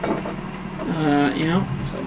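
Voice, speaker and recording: A hoarse man hosting a casual cooking livestream from his home kitchen, chatty and self-deprecating, answering viewers aloud as he works. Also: fairly high pitch, steady tone, drawn-out words, very noisy. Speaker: streaming cooking show host.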